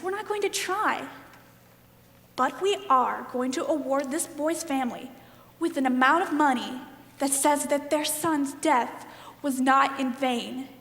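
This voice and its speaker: Woman acting as impassioned stage-play juror, female, wounded and defiant, deliberate slow delivery, long hall reverb. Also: high-pitched. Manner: emphatic, deliberate, pleading. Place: live stage performance in a hall.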